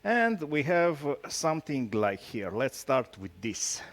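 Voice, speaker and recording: A man from Romania with a Romanian accent gives an informal tech talk, speaking haltingly and teasing his audience with jokes. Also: medium pitch, very animated. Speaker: conference speaker, solution architect.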